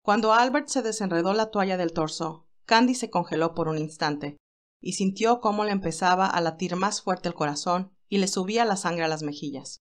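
A woman's voice: on the low side, moderate pace. A woman reading a scripted romantic story as its narrator, in a dramatic storytelling style.

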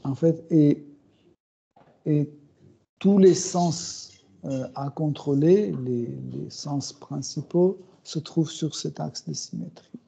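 A middle-aged man giving a scripture class in a small room, speaking slowly and hesitantly with many fillers and soft chuckles. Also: fairly high pitch, vocal fry, husky. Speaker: spiritual teacher. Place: small room with bookshelves, indoors. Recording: dull, muffled recording.

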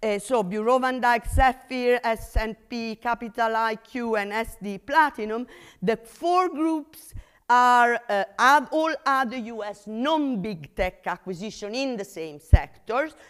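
A woman's voice: a little high, measured pace, clear, resonant tone. A middle-aged woman, a non-native English speaker, giving an academic conference lecture in an emphatic, explanatory way with frequent check-in tags. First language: not English. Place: university lecture room.

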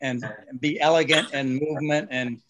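A man talks on a video call panel with a voice that sits a little high for a man. He speaks haltingly, repeating words as he starts his thoughts over. His tone stays plain and conversational. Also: steady tone, fairly bright tone, low-quality recording. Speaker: breeder panelist.

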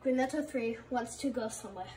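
A proud alien visitor talks of itself in the third person in a thick Russian accent, put on by a woman. The voice sits a little high and comes from across a bedroom with faint room noise behind it. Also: brisk, recorded from a distance, close to an everyday voice. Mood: boastful. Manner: refers to self in third person, proud and deadpan. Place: bedroom with a computer.

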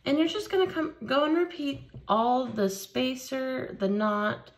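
A woman leading a hands-on beading tutorial at a craft table, explaining each step slowly and patiently. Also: sits high, animated. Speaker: craft instructor.

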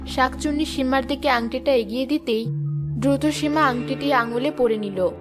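A woman's voice: high-pitched, moderate pace, loud music behind the voice. A woman giving scripted narration of a children's cartoon fairy tale.

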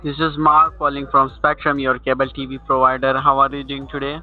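A man's voice: mid-range voice, level tone, fairly quick. A man calling as a sales caller, insistent and repeating his script. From India. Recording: tinny phone line with music.

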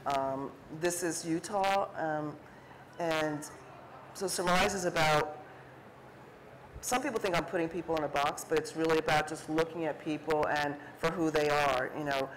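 A woman's voice: low voice, normal speed, a little hiss or hum, low-quality recording. A middle-aged woman, an artist on a panel, talking casually about her photography with many fillers and restarts, acting out quoted remarks as she goes. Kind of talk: conversational talk on stage. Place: stage at an art fair talk, indoors before an audience.

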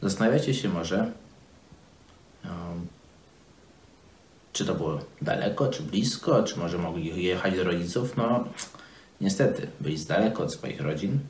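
A man speaks in a low voice that moves up and down in a lively way. He explains things simply, as a storyteller for children, with halts along the way. The room around him echoes clearly. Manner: halting, simply worded, explanatory. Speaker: presenter telling a Bible story to children. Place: small office, seated at desk. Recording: roomy indoor echo.

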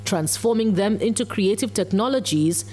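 A woman's voice: medium pitch, normal speed, warm tone. A woman reading scripted narration as a news reporter. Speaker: news reporter doing voice-over.

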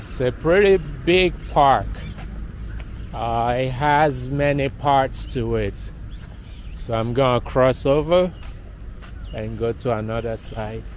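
Slow, laid-back man narrating a park walk. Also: medium pitch. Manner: relaxed, chatty, trailing off into quiet asides. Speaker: walking tour vlogger. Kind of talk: casual walking narration. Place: outdoors in a sunny city park by a pond. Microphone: camera-mounted mic, speaker off screen. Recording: outdoor camera audio with noticeable ambient noise.

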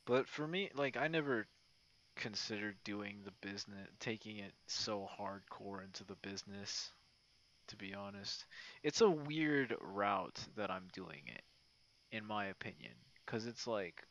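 A man talks at a very slow pace with a lot of creaky fry in his voice, rambling casually and stopping and starting as if chatting late at night. The audio is slightly dull and muffled. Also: lowish voice, animated, fairly bright tone. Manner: rambling, halting, informal. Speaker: ASMR roleplay creator.

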